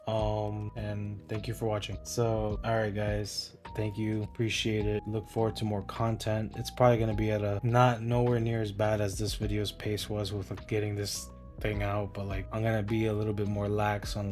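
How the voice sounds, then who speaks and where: A young man talking casually in a flat, monotone way, rambling with many restarts and fillers as he breaks down a versus battle. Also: fairly low pitch. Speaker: YouTube versus-battle analyst. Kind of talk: casual spoken analysis over music. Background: lo-fi hip hop music.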